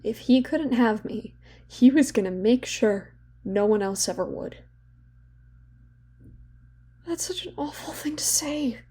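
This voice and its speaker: An actress plays a young woman in an audio drama, confessing in therapy. Her voice sits fairly high with a soft, mellow edge. She is bitter, profane and weighed down by guilt and self-loathing. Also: lively intonation, normal speed, breathy edge. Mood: anguished, self-loathing. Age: young adult. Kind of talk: dramatic audio play monologue.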